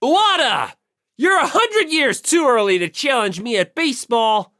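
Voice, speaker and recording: An audiobook reader voicing a brash, cocky teenage baseball player boy who shouts and taunts. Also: high-pitched, very animated, moderate pace, voice pushed up, exaggerated voice. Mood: indignant, taunting. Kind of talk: audiobook character voice.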